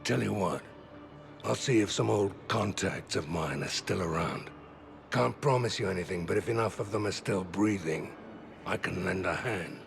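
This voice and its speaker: An actor playing a gruff, cynical veteran mercenary in a video game, gravel-voiced, blunt and grumbling. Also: male voice, low-pitched, moderate pace. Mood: gruff, wry. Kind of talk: cinematic video game acting. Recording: game music underneath.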